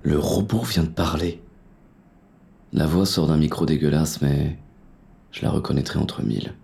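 An actor playing a hard-boiled, wary police inspector who narrates a noir audio drama in a gritty, weary manner. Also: male voice, extremely low pitch, normal speed, gravelly, darkish tone.

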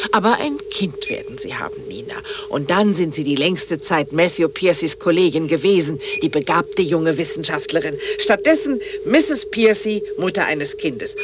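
An actress playing a bitter, sarcastic middle-aged ex-wife in a German radio play, theatrical and cutting. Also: female voice, deep voice, noisy background. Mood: cutting sarcasm. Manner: sarcastic, mocking, dramatic.

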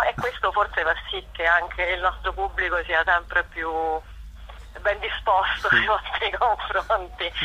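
A woman's voice: fairly low pitch, pushed and bright, a little hiss or hum. A woman giving a spontaneous radio interview as a performer and show organiser, often searching for words. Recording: dull, phone-like radio call.

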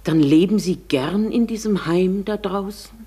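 A woman's voice: mid-range voice, measured pace, mellow, slightly rough. An actress playing a genteel, wistful elderly lady in a German radio play, speaking formally with some hesitation. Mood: melancholy. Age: older.

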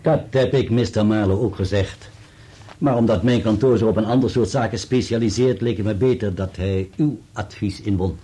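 An actor playing a precise, formal solicitor in a radio play, with an articulate delivery. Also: male voice, on the low side, moderate pace, warm tone, slightly rough. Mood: polite, businesslike. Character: dutiful male solicitor reading an article aloud, precise and formal.

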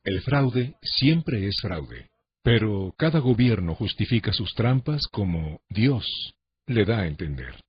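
A man's voice: fairly low pitch, sing-song, slow pace, husky, no room sound. A man reading scripted documentary narration. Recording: dull lo-fi documentary audio. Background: music.

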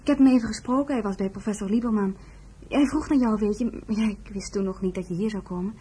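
A woman's voice: medium pitch, quick pace, mellow, lightly acted. A woman acting an eager, chatty young nursing trainee in a Dutch radio play, on a noisy old recording. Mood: excited, chatty.

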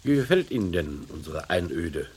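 A suspicious company director in an old radio play. His voice is very deep and his questions come curt and probing. There is faint noise behind him. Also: very animated, normal speed, darkish tone. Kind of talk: German radio crime drama acting.

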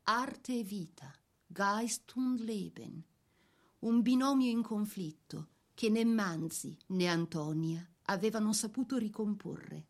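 Casting: female narrator for radio literary biography, mid-range voice, measured scripted delivery. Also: medium pitch.

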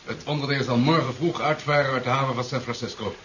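An actor playing a composed, formal vice-admiral in a 1970s Dutch radio play, briefing in a measured, official manner. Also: male voice, medium pitch, fairly even intonation, a bit bright, natural voice. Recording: dull, hissy 1970s radio recording.

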